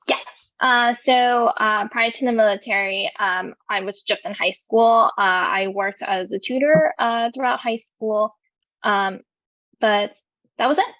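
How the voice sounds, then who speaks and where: A woman's voice: mid-range voice, fairly even intonation, measured pace, a bit bright, dry recording. A young woman from California tells her story in an oral history interview. She speaks hesitantly, with frequent fillers and restarts. The audio is dry and slightly muffled, as on a video call. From California, USA. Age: 20s. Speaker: veteran interviewee.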